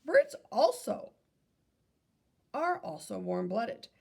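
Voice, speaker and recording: A woman reading aloud to children like a teacher, in an instructive manner, pausing to pose questions to young listeners. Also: on the high side, wildly swooping pitch, creaky, a little mellow, slightly rough.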